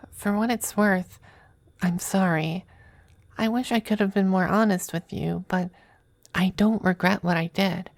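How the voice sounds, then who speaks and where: A woman playing a tender, possessive male demon femboy in an intimate ASMR roleplay, soft and breathy, often whispering, with a hesitant, coaxing manner. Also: mid-range voice, steady tone, dry recording. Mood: wistful, devoted. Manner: slow, hesitant, gently coaxing.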